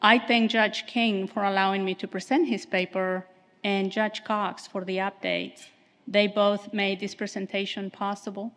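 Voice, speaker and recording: A woman attorney speaks softly and carefully into a podium microphone, giving a formal presentation at a conference. Her voice sits at an ordinary middle pitch. A long reverberation from the hall trails behind her words. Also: level tone, unhurried. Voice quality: soft voice.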